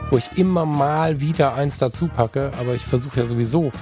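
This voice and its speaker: A man's voice: medium pitch, fairly quick, dark tone, faint music behind. A man co-hosting a podcast in casual chat, blunt and joking in the way he talks. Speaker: podcast co-host.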